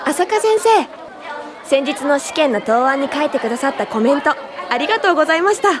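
An actress playing an earnest young university student in a radio drama, polite and eager, full of respect and admiration. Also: female voice, high voice, animated, brisk, a crowd in the background. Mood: eager, curious. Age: young adult. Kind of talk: radio drama acting and reminiscing narration.

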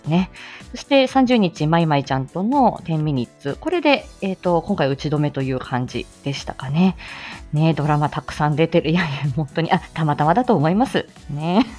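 A woman's voice: sits low, animated, brisk, darkish tone, soft background music. A woman hosting a casual live audio stream, chatting to listeners in a giggly, playful way. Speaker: speech-language therapist.

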